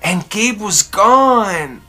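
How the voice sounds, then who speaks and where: A woman storyteller reading a children's picture book aloud expressively, with dramatic, drawn-out emphasis. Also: mid-range voice, animated, sharp tone, soft background music.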